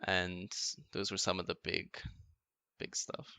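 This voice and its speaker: Deep crisp-voiced young man explaining history. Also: monotone, slow, sharp tone, no echo. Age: young adult. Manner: explains events, loose with fillers. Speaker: podcast co-host. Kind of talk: casual history chat.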